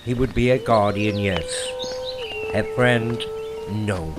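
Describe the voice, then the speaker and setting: A man narrating a dramatic horror story in a theatrical way, with deliberate pauses. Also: fairly low pitch. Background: music.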